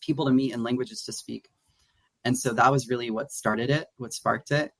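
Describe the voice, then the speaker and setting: A man's voice: medium pitch, fairly even intonation, moderate pace, no echo, low-quality recording. A young man from Atlanta, talking casually as a guest with lots of restarts and "like" fillers. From Atlanta, USA. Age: young adult. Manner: rambling with frequent restarts and "like" fillers. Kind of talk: casual interview conversation.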